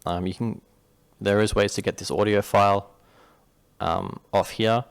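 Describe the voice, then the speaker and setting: A man's voice: lowish voice, fairly even intonation, unhurried, creaky, clean tone. A man from Western Australia with an Australian accent, explaining a Japanese reading lesson to viewers in a chatty, rambling way with frequent restarts. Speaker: Japanese tutor. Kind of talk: casual screen-share reading lesson.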